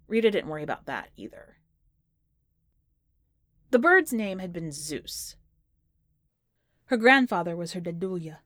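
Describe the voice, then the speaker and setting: A woman narrating literary fiction from a script, with measured, deliberate phrasing in short clipped sentences. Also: lowish voice, very animated, a bit bright, no room sound. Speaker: podcast host reading a short story.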